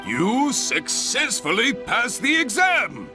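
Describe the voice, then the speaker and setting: A cheerful young exam official from a fantasy game, speaks in a high, swooping voice. He announces everything with theatrical enthusiasm and takes his time over it. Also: wildly swooping pitch, slow pace, music in the background, heavily acted. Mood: playful, impressed. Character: cheerful young male adventurers' guild examiner. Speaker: exam host. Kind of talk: video game character dialogue.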